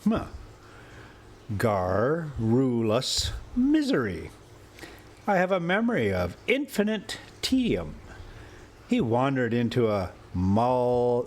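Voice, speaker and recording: A man narrates a story at a very slow, deliberate pace. His voice is medium in pitch, and he reads as the host of an informal story time. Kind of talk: audiobook-style story narration with casual asides.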